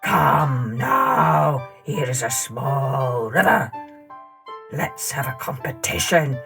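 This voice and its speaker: A woman voicing a small, clever male frog in a children's folktale, with a croaky deep voice and a bold, boastful manner. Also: animated, slow, over music, full character voice.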